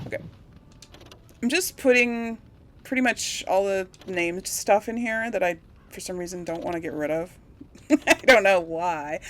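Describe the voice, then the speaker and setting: Woman, mid-range voice, casual gameplay commentary, rambling, thinking out loud, repetitive. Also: mid-range voice. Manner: rambling, thinking aloud, repeats herself. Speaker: gameplay video host.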